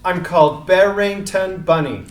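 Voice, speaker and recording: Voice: medium pitch, unhurried, close to an everyday voice. An actor playing a small, naive baby rabbit who is lonely, hopeful and politely earnest. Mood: earnest, insistent. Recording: echoey room.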